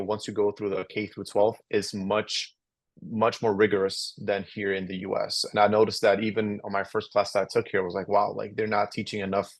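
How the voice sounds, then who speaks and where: Low-voiced young man from Albania. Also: dry recording. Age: young adult. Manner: rambling, many restarts and fillers. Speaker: podcast guest, incoming law student. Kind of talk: casual interview answers.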